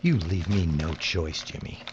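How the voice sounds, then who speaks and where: Male actor as weary, desperate underling in audio drama, intense and very animated in pitch, simmering hatred. Dull, faintly humming sound. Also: measured pace. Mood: tense, submissive. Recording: muffled audio with faint hum.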